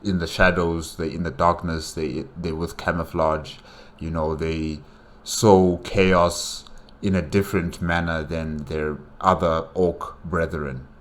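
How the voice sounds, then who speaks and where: A man's voice: low-pitched, flat delivery, slow. A man giving casual, unscripted reaction commentary in a loose, conversational way. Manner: loose, conversational, frequent "you know".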